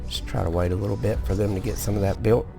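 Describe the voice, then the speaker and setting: A man hosting a casual gameplay video, sleepy and relaxed, explaining each step as he goes with small restarts. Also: on the low side, fast talker, vocal fry, over loud music. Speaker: gaming video host. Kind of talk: casual let's-play commentary.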